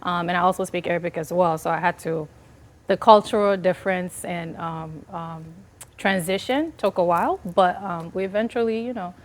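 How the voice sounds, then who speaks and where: A woman's voice: fairly low pitch, moderate pace. A woman chef from Liberia, answering interview questions in an earnest, chatty way with many fillers. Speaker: chef and business owner, guest.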